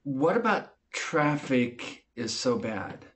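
An actor playing a measured clinician, putting probing questions in a training-film interview. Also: male voice, mid-range voice, sing-song, a bit bright.

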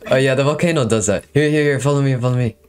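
A young man narrating his game episode and chatting in voice chat, excitable and quick to repeat himself in bursts. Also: medium pitch.

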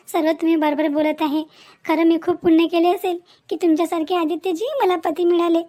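A woman's voice: extremely high pitch, a little mellow. A woman voicing a meek, adoring young wife in a cartoon family drama, sweet, devout and repetitive.

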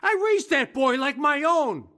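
A distraught middle-aged father in a radio drama, high-pitched for a man and shaken. He speaks in halting, broken phrases, stopping and restarting as the hurt catches him. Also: expressive, sharp tone, exaggerated voice. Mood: shaken, bitter. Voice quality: shaky, halting.

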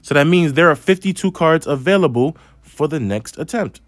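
A man's voice: on the high side, expressive, normal speed, no echo. A man with a slight lisp teaching a math lesson over a tablet screen, explaining patiently step by step. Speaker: math instructor.

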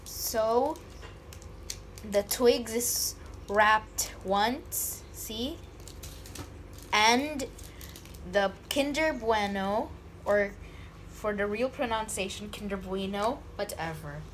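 A woman's voice: medium pitch, drawn-out words, long pauses, a little hiss or hum. A woman unboxing candy on camera in a casual vlog, joking and pretending to be scared. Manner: playful, mock-scared squeals and jokes. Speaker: vlogger. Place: indoors at a table. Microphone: phone camera held over a table.

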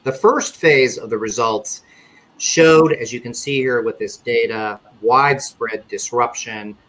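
A middle-aged man giving a webinar presentation on a video call, speaking in a measured way with frequent fillers and restarts. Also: medium pitch, a bit bright, rough recording. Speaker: conference panelist presenting survey results. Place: indoor office or home room.

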